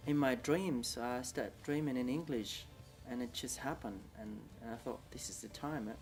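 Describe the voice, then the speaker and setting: Vietnamese-accented guy telling his story, lots of restarts, faint music behind. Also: mid-range voice. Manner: halting, many restarts, second-language English. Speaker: interviewee. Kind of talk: casual recollection.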